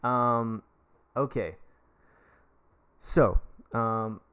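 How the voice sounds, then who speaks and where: A man's voice: mid-range voice, very slow, sharp tone, clear, resonant tone. An American man, an English teacher, giving casual advice on a live stream in a rambling, jokey, chummy way, talking straight to his viewers. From United States. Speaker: livestream advice host.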